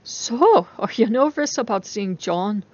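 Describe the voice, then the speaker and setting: A woman voicing a caring, brightly cheerful young female student in a dramatic story reading, exclamatory and encouraging. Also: mid-range voice, lively intonation, unhurried, muffled recording. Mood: bright, teasing.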